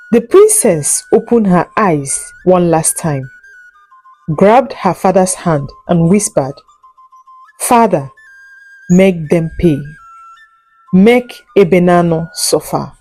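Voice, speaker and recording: A woman narrating a scripted folk tale in a solemn, deliberate and dramatic storytelling manner. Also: on the low side, dark tone, breathy edge, low-quality recording.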